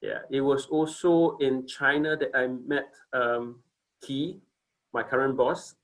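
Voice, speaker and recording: A man's voice: medium pitch, steady tone, slow, a bit bright, rough recording. A man in his forties from Singapore, giving a casual company presentation on a video call, hesitant with many fillers and restarts.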